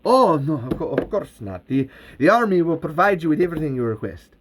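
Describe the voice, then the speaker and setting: Guy putting on a polite eager official, formal, kinda high. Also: sing-song, brisk, slight background noise. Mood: courteous.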